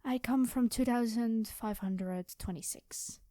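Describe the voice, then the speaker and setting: Woman acting a quirky, high-voiced time traveler. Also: unhurried, mellow, dry recording, studio quality, lightly acted. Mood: sheepish. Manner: rambling, flustered, hesitant, cheery. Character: quirky, chatty young woman time traveler, secretly from the future. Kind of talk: ASMR storytelling roleplay, one-sided conversation.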